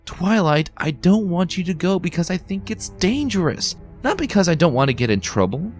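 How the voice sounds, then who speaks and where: A man's voice: sits high, very animated, moderate pace, faint music behind, voice pushed up. A man voicing a worried young male baby dragon in a fan reading, protective and pleading.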